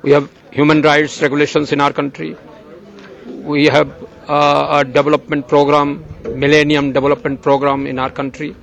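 An older man from Bangladesh answers interview questions outdoors with slow, earnest conviction. His voice sits a little high for a man, and he lingers on words as if preaching. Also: completely flat delivery, vocal fry, fairly bright tone, low-quality recording. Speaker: agricultural journalist, guest.

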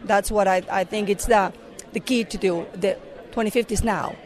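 A woman's voice: medium pitch, fairly even intonation, moderate pace, some chatter behind. A middle-aged woman from Mexico with a Mexican accent, a corporate affairs director giving earnest answers in an interview, with many fillers and restarts.

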